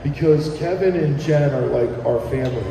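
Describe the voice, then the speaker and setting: A man's voice: fairly high pitch, normal speed, vocal fry, background noise. A man guesting on a radio show, chatting casually and rambling with many ums and restarts. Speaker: musician guest. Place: live broadcast at a venue. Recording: roomy live venue audio from far off.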